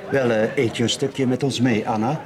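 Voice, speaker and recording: An actor playing a smooth male office boss in a radio drama, placating and hesitant yet pressing. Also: mid-range voice, steady tone, moderate pace, crowd noise, close to an everyday voice. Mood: sociable.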